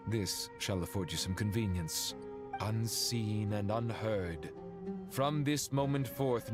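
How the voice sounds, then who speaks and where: A man's voice: mid-range voice, lightly acted. A man voicing an imperious ancient guardian spirit in a video game, haughty, formal and declamatory. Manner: pompous, declamatory.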